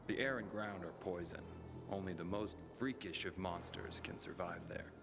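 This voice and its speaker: A man's voice: low voice, moderate pace. An actor playing a discreet male guild messenger who speaks formally and precisely. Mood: reserved. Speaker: guild carrier. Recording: game cutscene with music bed.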